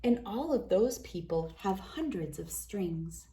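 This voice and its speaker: A woman reading a children's picture book aloud as host, with expressive, deliberate storytelling phrasing. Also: mid-range voice.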